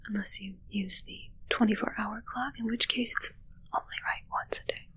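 A young woman playing a teasing, haughty immortal witch in a whispered ASMR roleplay, musing slowly and condescendingly close to the microphone. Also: fairly high pitch, steady tone, sharp tone. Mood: dry, musing. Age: young adult. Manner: slow, musing, dryly condescending. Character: haughty, teasing immortal witch fussing over her familiar. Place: small indoor set with dark drapes.

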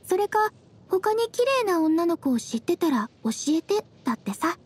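Casting: young traveler heroine, anime game, very high girlish pitch, soft-spoken, composed, gently teasing. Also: very animated, moderate pace. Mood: matter-of-fact.

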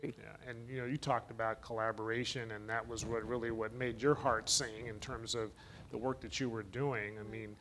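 A man's voice: on the low side, flat delivery, normal speed, fairly bright tone, rough recording. Middle-aged man moderating, faint hiss behind. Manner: conversational, building questions on guests' points. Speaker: panel moderator. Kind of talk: moderating a panel. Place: stage of a conference panel.

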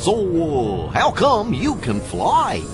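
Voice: medium pitch, huge pitch swings, slow pace, over music. A performer playing a good-natured, bumbling male cartoon dog in a video game. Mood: curious.

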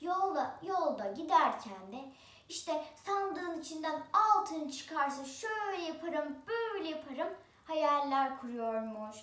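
A woman's voice: high-pitched, fairly bright tone, far from the mic. A female storyteller telling a folk tale expressively and theatrically, stretching and repeating words. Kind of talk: oral folk-tale storytelling with rhyming opening. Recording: roomy echo, away from the mic.

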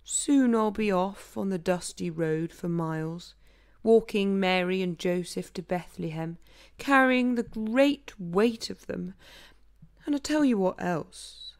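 A woman's voice: on the low side, smooth. A woman voicing a weary little donkey who sighs and complains, in a storybook poem. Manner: sighing, weary.

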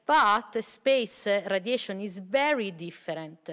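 A middle-aged woman researcher explains a technical point from a panel table, pausing often with fillers. Her voice is of middle pitch. A table mic picks her up, and a long reverb from the large hall trails behind. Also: lively intonation, a bit bright. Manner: explanatory, many hesitations and fillers. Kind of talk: panel discussion answer. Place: large lecture hall stage.

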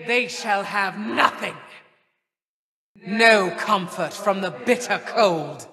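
A woman's voice: medium pitch, expressive, slow pace, bright, forceful tone, in a large hall, full character voice. A woman voicing a cold, bitter goddess of winter, declaiming with grim intensity. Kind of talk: video game voice lines.

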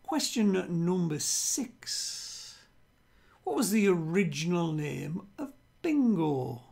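An older man with a British accent hosts a quiz. His voice is husky and full of creak, and he takes his time. He delivers things deliberately, often saying them twice over. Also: high-pitched, big pitch swings, darkish tone. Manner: deliberate, repeats himself. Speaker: quiz host. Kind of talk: reading quiz questions to camera. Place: small room at home with bookshelves.

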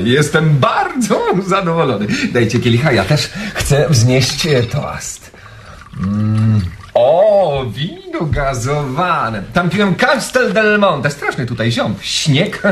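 An actor playing a tipsy, elated, penniless romantic in a radio comedy, rambling and effusive. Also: male voice, high voice, fairly bright tone, a little hiss or hum, exaggerated voice. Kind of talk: radio comedy drama acting.

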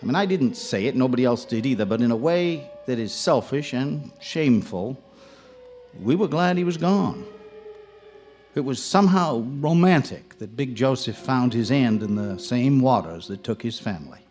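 A man's voice: mid-range voice, animated, normal speed, a little mellow, soft background music, lightly acted. An actor playing a wry, folksy small-town ice fisherman narrating a ghost tale in a live radio drama. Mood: wry, storytelling.

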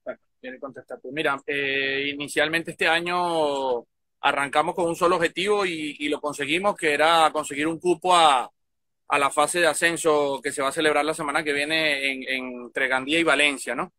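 A man's voice: fairly high pitch, steady tone, normal speed, bright, forceful tone. A man, speaking for a baseball club in an interview, hesitant and full of fillers, recorded outdoors on a phone. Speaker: club representative, guest. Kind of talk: casual interview answers. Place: outdoors by a field.

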